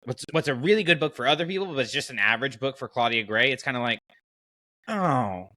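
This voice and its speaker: A man's voice: mid-range voice, animated, fairly quick, pushed and bright, no room sound. A man hosting a podcast in casual conversation, thinking aloud and giving his opinions as he goes. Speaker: podcast host. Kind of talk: casual podcast chat about fiction.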